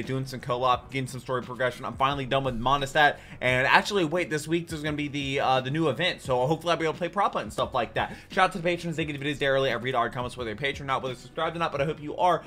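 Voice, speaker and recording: A man's voice: medium pitch, very fast, hardly any pauses, a bit bright. A man, giving chatty, informal reaction commentary. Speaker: reaction video host. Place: small home room, indoors. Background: faint music.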